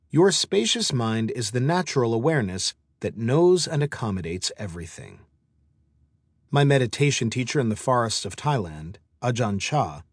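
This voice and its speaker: Man narrating audiobook, lively intonation, dry sound. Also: medium pitch, breathy edge, dry recording. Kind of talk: scripted audiobook narration of a meditation and self-help book.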